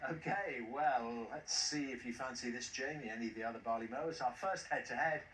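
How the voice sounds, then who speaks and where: A man fronting a TV quiz with a bright, forceful voice and a jovial, chuckling manner. He sits back from the mic, so the studio's echo comes through clearly under a faint hiss. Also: medium pitch, brisk, distant mic. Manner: jovial, chuckles at contestants' replies. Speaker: quiz show host. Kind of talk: TV quiz hosting.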